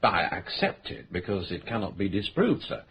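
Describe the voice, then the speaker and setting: A level-headed police inspector in a radio crime play. He sets out the case in a measured, courteous way, and his voice rises and falls with feeling under a faint hiss. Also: low voice, very animated, fairly bright tone, exaggerated voice. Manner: measured, polite, explanatory. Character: calm, patient male police inspector.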